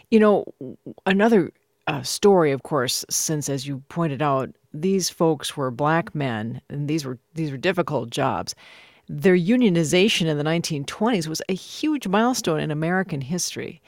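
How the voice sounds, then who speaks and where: A woman's voice: deep voice, lively intonation, moderate pace, no echo, clean studio sound. A woman hosting a broadcast interview, moving between scripted introductions and questions. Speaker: radio host.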